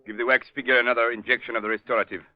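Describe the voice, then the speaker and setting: An actor playing a proud, bitter mad scientist in a 1930s radio mystery, clipped, precise and pompous. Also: male voice, medium pitch, little pitch movement, very fast, natural voice. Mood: cold, brisk. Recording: muffled 1930s radio recording.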